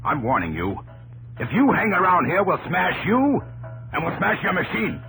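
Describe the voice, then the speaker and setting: A man's voice: sits high, wildly swooping pitch, normal speed, gravelly, bright, forceful tone, soft background music, heavily acted. An actor playing a hostile, blunt working-class New England craftsman with a threatening manner in a 1950s radio drama. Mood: menacing.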